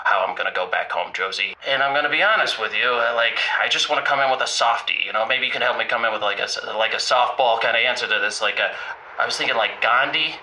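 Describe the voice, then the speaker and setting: Man playing a sharp-voiced, nervous roughneck husband. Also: mid-range voice, poor audio. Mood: nervous, sheepish. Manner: nervous, halting, rambling. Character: anxious, sheepish male oil-rig roughneck husband. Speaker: caller on a comedy call-in show. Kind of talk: improvised comedy phone-in.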